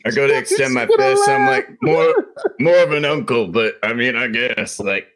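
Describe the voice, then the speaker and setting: A man's voice: medium pitch, sing-song, normal speed, a bit bright. A man playing in a tabletop game, chatting casually and rambling with many fillers. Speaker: player.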